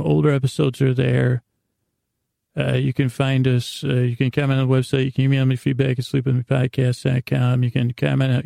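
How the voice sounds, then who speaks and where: A man hosting a bedtime podcast in a rambling, improvised monologue, halting and meandering with stammered restarts, self-deprecating and gently reassuring, in a gravelly voice. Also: mid-range voice, dry recording, clean studio sound. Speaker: podcast host.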